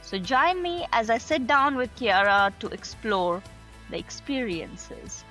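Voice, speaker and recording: A woman's voice: fairly high pitch, very animated, takes it slowly, bright, forceful tone, soft background music. Earnest woman hosting a podcast. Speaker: podcast host. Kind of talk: podcast interview.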